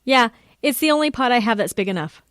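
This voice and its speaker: A woman's voice: fairly high pitch, expressive, quick pace, over music, close to an everyday voice. A woman playing a kindly, chatty mother in a children's radio drama, affectionate and homely.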